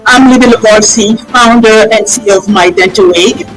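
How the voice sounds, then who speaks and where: A woman's voice: medium pitch, brisk, soft background music, rough recording. A woman hosting a live television interview. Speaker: TV show host.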